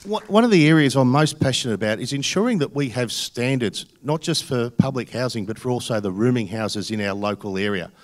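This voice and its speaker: A man's voice: mid-range voice, lively intonation, brisk, hardly any pauses, a faint crowd. A middle-aged Australian man, an election candidate, answering at a forum on stage into a handheld mic, earnest and driving his points home. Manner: earnest, repetitive, stressing points. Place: theatre stage panel.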